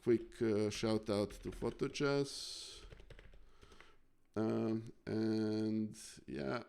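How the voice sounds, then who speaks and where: Slow, gravelly man streaming. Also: fairly low pitch, fairly even intonation, a little mellow. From Serbia. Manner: halting, many fillers, thanks chat viewers. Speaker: chess streamer. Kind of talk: casual live stream chat and chess analysis.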